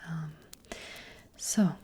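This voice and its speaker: A woman voicing a gentle witch fortune teller in a soft ASMR roleplay, whispering breathily and hesitantly, close to the microphone. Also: background noise. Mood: gentle, advising. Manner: gentle, hesitant, slow and reassuring.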